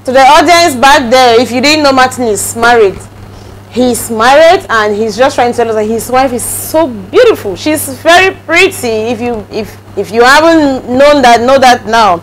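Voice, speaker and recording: A woman's voice: a little high, lively intonation, normal speed, slight background noise, poor audio. A woman from Cameroon hosting a casual interview, chatty and prone to repeating words as she starts a sentence. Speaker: host.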